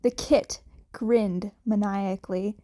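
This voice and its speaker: A woman's voice: mid-range voice, fairly even intonation, takes it slowly, mellow. A woman reading a fan fiction story aloud as scripted audiobook narration. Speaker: podfic narrator.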